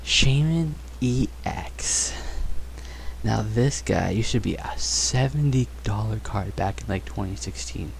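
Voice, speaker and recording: A young man chatting casually and excitedly while opening trading cards in a bedroom, on a headset mic. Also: on the low side, slow pace, gravelly, slight background noise. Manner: upbeat, chatty, excited exclamations. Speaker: card collecting vlogger. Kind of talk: casual unboxing chat. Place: bedroom studio, indoors.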